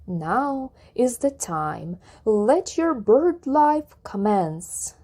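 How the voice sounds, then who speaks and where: Woman voicing a sly, animated flattering vulture. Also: a little high, drawn-out words, heavily acted. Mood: persuasive. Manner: persuasive, gushing, rhyming. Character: sly, flattering male vulture coaxing with false encouragement.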